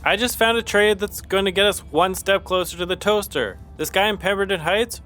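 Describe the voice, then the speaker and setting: An actor playing a quirky, self-assured young hobbyist in a podcast sitcom. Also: male voice, sits high, fairly quick, sharp tone, slight background noise. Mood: eager.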